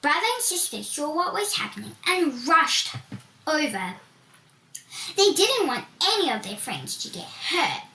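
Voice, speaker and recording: A woman reading a children's storybook aloud in a deliberate, expressive storytelling manner, with emphatic swoops. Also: high voice. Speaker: volunteer story reader. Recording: echoey room with background hiss.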